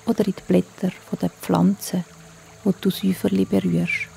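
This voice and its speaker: A woman with a Swiss German accent, telling a bedtime story in a soft, hushed, soothing way. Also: fairly low pitch, level tone, moderate pace, gravelly, faint music behind.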